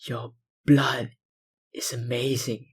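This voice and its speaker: Man playing a slow, breathy, obsessive vampire. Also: medium pitch, little pitch movement. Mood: hushed fascination. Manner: slow, deliberate, politely insinuating, with sighs and pauses. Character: obsessive, smooth-talking male vampire lab researcher, menacingly polite. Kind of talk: intimate ASMR roleplay monologue.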